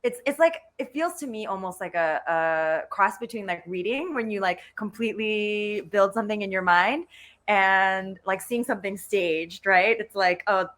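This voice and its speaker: A woman talking casually as a panelist on a video call, chatty and halting, with giggly asides. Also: medium pitch, fairly bright tone. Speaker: playwright panelist.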